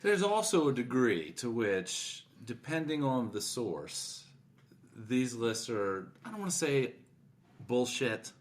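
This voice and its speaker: A man's voice: medium pitch, very animated, slow. A man chatting as a podcast co-host, with dry, precise and wordy phrasing. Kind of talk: casual chat.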